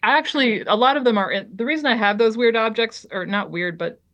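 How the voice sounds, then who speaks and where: A woman's voice: fairly high pitch, brisk, a bit bright, dull audio. A woman artist chatting casually as an interview guest, hesitant and full of ums, trailing off her sentences. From Liberia.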